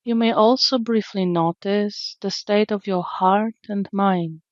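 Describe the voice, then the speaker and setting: Slow, soothing woman guiding meditation. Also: medium pitch, no echo, lo-fi sound. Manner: soothing, gentle, unhurried with long pauses. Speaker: meditation guide. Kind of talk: guided meditation, slow calm narration.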